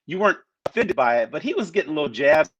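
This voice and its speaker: A man's voice: fairly high pitch, very animated, quick pace, fairly bright tone, husky. A man on a talk panel, speaking casually and rambling with many restarts. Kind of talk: casual chat. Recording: muffled lo-fi sound.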